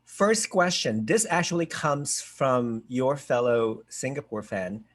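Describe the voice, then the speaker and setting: A man hosting and directing a show, interviewing a guest over a video call in a casual, expressive way that sometimes stumbles over repeated words. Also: mid-range voice, takes it slowly.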